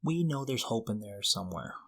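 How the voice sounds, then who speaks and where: A man's voice: fairly low pitch, lively intonation, a little fast, gravelly. A middle-aged man co-hosting a podcast, talking casually in an earnest, encouraging, motivational way. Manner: earnest, encouraging, poses questions to the listener. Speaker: podcast co-host.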